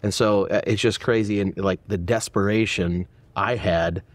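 A man's voice: lowish voice, fairly even intonation, normal speed, dry recording. A man in his forties, a pastor hosting an interview podcast, talking earnestly with many restarts in a church auditorium. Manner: earnest, stumbling restarts, warm affirmations. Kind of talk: casual conversational interview. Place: dark church auditorium, indoors.

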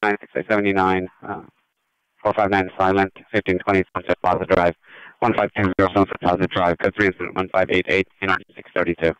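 A man's voice: low voice, level tone, fast, fairly bright tone, clear, resonant tone, no room sound. A man reading a clipped dispatch call over police radio. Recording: dull police scanner audio.

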